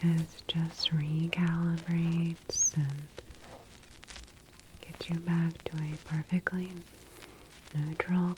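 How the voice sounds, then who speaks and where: A woman whispering softly and breathily in a gentle, reassuring ASMR clinic roleplay. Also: deep voice, noisy background. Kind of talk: ASMR roleplay, soothing patient-facing talk.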